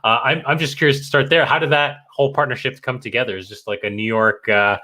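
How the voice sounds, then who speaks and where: A man's voice: mid-range voice, fairly even intonation, quick pace, sharp tone. A man hosting an interview, chatty and enthusiastic, asking long rambling questions. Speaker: podcast host, interviewer. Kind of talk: casual interview conversation.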